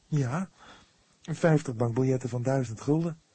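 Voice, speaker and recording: A man voicing an earnest, devout young woman in an audiobook, speaking patiently and with solemn emphasis, quick to defend herself. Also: medium pitch, mellow, slightly rough, dry recording, pitched above the performer's own voice.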